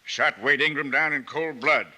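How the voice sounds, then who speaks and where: A man's voice: a little high, normal speed, bright, forceful tone. A man playing a stubborn, hot-headed small-town sheriff, blustering and insistent, in a 1950s radio western drama.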